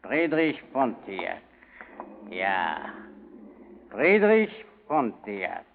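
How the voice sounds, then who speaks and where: Cold German commandant, thin noisy recording. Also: male voice, on the low side, very animated, very slow, creaky, narrow, tinny audio, heavily acted. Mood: intent, calculating. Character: cold Nazi prison commandant plotting his escape. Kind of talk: 1940s radio drama acting.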